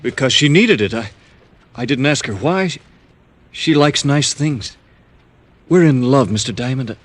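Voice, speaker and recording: Casting: lovesick beanpole sideshow man, mid-pitched, earnest, hesitant, naive, old-time radio drama. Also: expressive, measured pace. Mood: defensive.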